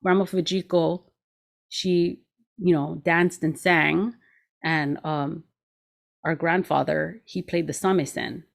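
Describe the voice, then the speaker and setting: A woman's voice: deep voice, fairly even intonation, slow pace, creaky. A woman in her forties hosting a show, chatting casually in an informal, giggly way. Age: 40s. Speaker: show host. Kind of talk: casual conversation.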